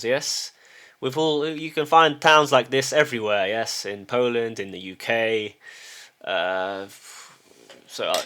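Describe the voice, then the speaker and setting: A middle-aged man talking to camera in a relaxed, unscripted way, his voice sitting at an ordinary middle pitch. He stumbles and repeats little words as he restarts his sentences. He keeps tagging his points with a questioning "yes?" as if checking the listener is still with him. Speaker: vlogger. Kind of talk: casual spoken monologue. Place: lives in a small town.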